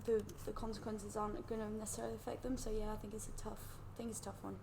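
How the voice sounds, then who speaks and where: A young woman from Switzerland talks through her views in a casual podcast chat, hedging with fillers. Her voice sits at a middle pitch, with a faint hiss behind it. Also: flat delivery, racing, vocal fry. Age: young adult. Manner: explains at length, hedging with fillers. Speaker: guest, international student.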